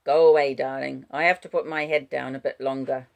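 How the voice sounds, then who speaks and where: A weary, weakened old man in a storybook, acted out by a woman, low and gravelly. He is gruff but kindly, and each phrase comes with tired effort. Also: steady tone, brisk. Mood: weary reassurance.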